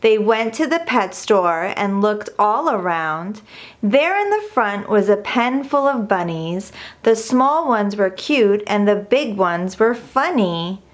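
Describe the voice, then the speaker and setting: A woman reading a rhyming children's picture book aloud in an expressive, deliberate storytelling manner. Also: mid-range voice. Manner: measured, deliberate storytelling delivery. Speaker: storybook reader.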